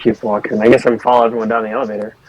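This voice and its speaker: A man with a husky voice talking casually as a player in a tabletop role-playing game. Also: lowish voice, level tone, very fast. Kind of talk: casual improvised role-play talk.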